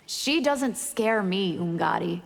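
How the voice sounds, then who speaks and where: A woman's voice: mid-range voice, expressive, slow pace, vocal fry, soft background music, full character voice. An actress playing a cold, bloodthirsty female vampire warrior in a video game, taunting and predatory. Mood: cold menace. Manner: menacing, taunting. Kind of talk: video game character acting. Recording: very noisy, long reverb, game arena.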